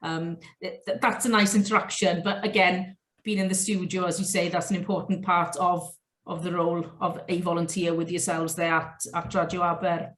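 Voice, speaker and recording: A woman's voice: fairly low pitch, flat delivery, normal speed, a bit bright. Lady interviewer on a video call, echoey room, chatty long questions, very encouraging. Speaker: interviewer, host of the virtual fair. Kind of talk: online interview.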